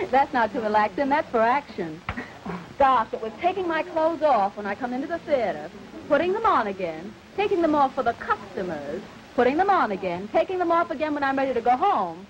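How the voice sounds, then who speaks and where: An actress playing a brash, wisecracking young burlesque stripper in the acting style of a 1930s melodrama film, slangy and pushy. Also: female voice, sits high, big pitch swings, fairly quick, a crowd in the background. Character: brash, fun-loving burlesque stripper, worldly young woman. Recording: scratchy, dull old film soundtrack.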